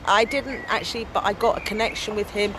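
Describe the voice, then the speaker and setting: Loud-voiced english woman telling stories. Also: medium pitch, a little fast, slight background noise, low-quality recording. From England. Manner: loud, strong voice with lively storytelling. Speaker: interviewee, activist and storyteller. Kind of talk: casual outdoor interview.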